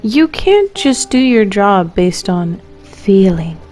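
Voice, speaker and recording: Female game streamer, mid pitch, slow casual delivery, slangy back-talk to the characters. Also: very animated, a little mellow, soft background music. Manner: playfully suspicious, slangy, talks back to characters. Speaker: streamer playing and reacting to a game. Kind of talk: casual live gameplay commentary.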